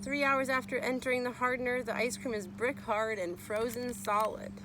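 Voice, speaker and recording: A woman's voice: a little high, fairly even intonation, normal speed, background noise. A woman reading a children's nonfiction book aloud as a teacher would, explaining and speaking to young listeners.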